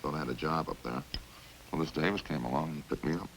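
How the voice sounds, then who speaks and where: A very low, creaky-voiced killer in an old radio crime drama. He rambles casually and folksily, calm as he confesses, on a faintly hissy vintage recording. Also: fast talker, poor audio. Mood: casual, rambling. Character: chatty, hungry male killer calmly confessing over supper.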